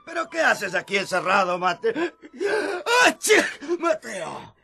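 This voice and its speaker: An actor playing an excitable, grumbling male disciple in a Latin American radio drama, with a raspy voice. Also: high-pitched, a little slow, faint music behind, heavily acted.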